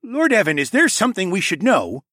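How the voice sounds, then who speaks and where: A man voicing a high-pitched, placating king. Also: sing-song, normal speed, dry recording, studio quality. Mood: concerned.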